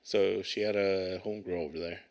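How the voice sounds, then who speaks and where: A man's voice: deep voice, fairly even intonation, moderate pace, a little mellow. A man co-hosting a podcast, chatting casually with slang, swearing and a joking manner. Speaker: podcast co-host.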